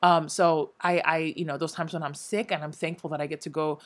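A woman's voice: low voice, brisk. A young woman who teaches in Korea, chatting casually and acting out her students' and coworkers' lines. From Nigeria and America. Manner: energetic, expressive storyteller, acts out quotes. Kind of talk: casual chat.

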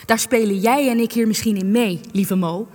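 An actress in a Dutch radio play, playing a sharp, cynical researcher who is sardonic, wordy and needling. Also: female voice, fairly high pitch, moderate pace, close to an everyday voice. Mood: scornful, skeptical. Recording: long reverb, music underneath.

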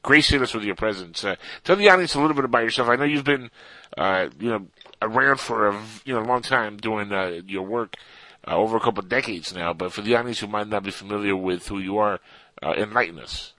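A man hosting a casual interview, excitable and loose, full of "you know" and "like". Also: fairly low pitch, brisk, no room sound, rough recording. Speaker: podcast host.

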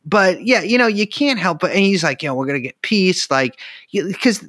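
A man's voice: high-pitched, expressive, normal speed, a bit bright, dry recording. Guy talking politics, voice bounces up and down a lot, keeps restarting, dry room. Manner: hesitant restarts, dry joking asides. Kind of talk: casual political chat.

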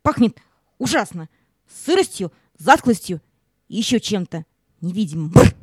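A woman playing a brave, loyal, grumbling dog in a fairy-tale audio play, with a growly, husky delivery. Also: mid-range voice, sing-song, normal speed, dry recording, studio quality. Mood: disgusted.

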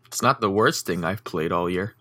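A man's voice: deep voice, normal speed, pushed and bright, a little hiss or hum. A young man co-hosting a casual podcast, speaking matter-of-factly and often repeating his words as he starts. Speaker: podcast co-host.

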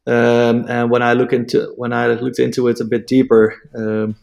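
A man with a Dutch accent, a native Dutch speaker, explaining a technical topic as an interview guest, halting with many fillers and restarts. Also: medium pitch, completely flat delivery, creaky, darkish tone. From Netherlands.

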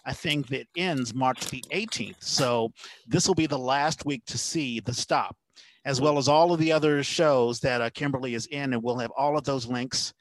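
A man hosting a casual podcast, talking in a conversational, halting way. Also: medium pitch, no echo, rough recording. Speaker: podcast host.

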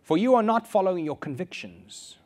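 A man's voice: high-pitched, very animated, moderate pace, darkish tone. Man preaching. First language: Afrikaans. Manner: earnest, repeats key phrases for emphasis. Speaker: pastor. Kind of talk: sermon.

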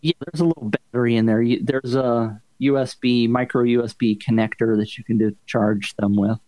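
A man's voice: mid-range voice, steady tone, moderate pace, warm tone, no echo. A man guesting on a casual tech podcast, speaking hesitantly with fillers.

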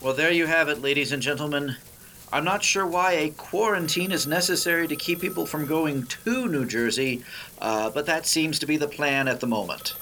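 Man as bright-voiced male radio announcer. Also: fairly high pitch, normal speed, a little hiss or hum, rough recording. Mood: drily bemused. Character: polished male 1930s radio announcer, drily bemused. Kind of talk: scripted spoof radio announcing.

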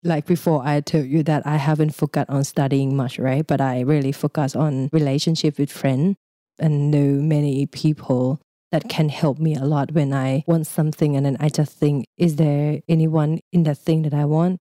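A woman's voice: low-pitched, little pitch movement, normal speed, warm tone, no echo, studio quality. A young Thai woman with a Thai accent, speaking English as a second language in a casual, chatty interview and laughing often. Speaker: guest.

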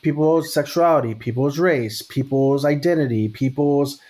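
A man's voice: medium pitch, moderate pace, a little mellow, slight background noise. A man from Texas in casual conversation, talking thoughtfully and checking in with "right?" as he goes. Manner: thoughtful, frequent restarts and "right?".

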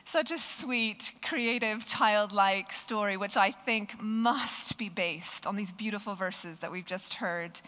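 A woman with a croaky, hoarse voice giving a conversational sermon and reading a children's story aloud. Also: medium pitch, slow, few pauses, bright, forceful tone, big-room sound, poor audio. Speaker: preacher.

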